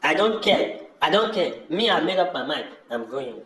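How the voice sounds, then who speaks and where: A man's voice: on the high side, sing-song, normal speed, fairly bright tone. Roomy echo, man acting as stubborn Nigerian villager, dismissive, insistent, fed up, audio drama. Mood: defiant. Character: fed-up, stubborn village brother determined to leave home. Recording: echoey room.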